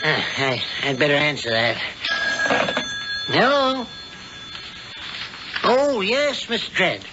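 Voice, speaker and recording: A man's voice: fairly high pitch, wildly swooping pitch, slow, pauses often, very noisy, exaggerated voice. An actor playing a genteel, sinister old male witch in a 1970s radio drama, mild and fussily polite. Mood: feigned politeness. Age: older. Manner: mild, fussy, politely evasive. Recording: muffled old radio broadcast, music behind.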